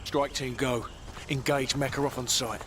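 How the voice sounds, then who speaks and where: An actor playing a special forces lieutenant, brisk and clipped, in a military game. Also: male voice, mid-range voice, fairly even intonation, heavy background noise, close to an everyday voice. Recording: clear music under the voice.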